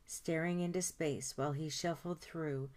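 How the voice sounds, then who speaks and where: A woman narrating a children's picture book aloud in an expressive storytime style, stretching words for emphasis. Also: sits low, normal speed. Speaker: storytime host reading aloud.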